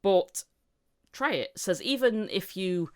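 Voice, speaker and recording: A woman's voice: medium pitch, lively intonation, a little slow, darkish tone. A woman hosting a casual, chatty interview, often telling things through bits of reported dialogue. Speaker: podcast host interviewing a voice actor. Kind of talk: casual chat.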